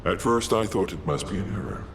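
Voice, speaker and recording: A man playing a grave, concerned mission commander in a video game, speaking in a measured, earnest, cautioning way. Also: lively intonation, a bit bright. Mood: grave warning. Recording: echoing, clear background rumble.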